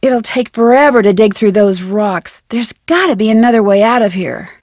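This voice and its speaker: A young woman voicing a plucky, curious young amateur detective in an adventure game, musing to herself with clear enunciation. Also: on the high side, lively intonation, normal speed, darkish tone, no echo, studio quality. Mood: puzzled, thoughtful. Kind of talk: scripted adventure game voice lines.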